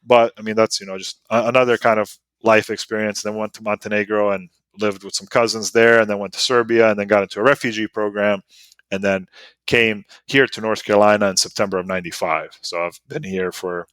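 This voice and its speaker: Low-voiced man telling a story in a rambling way. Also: fairly even intonation, fairly quick, a bit bright, no echo. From Bosnia. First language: Serbo-Croatian. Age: 30s. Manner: rambling, full of "like" and "you know" fillers. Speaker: podcast guest. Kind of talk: casual storytelling interview.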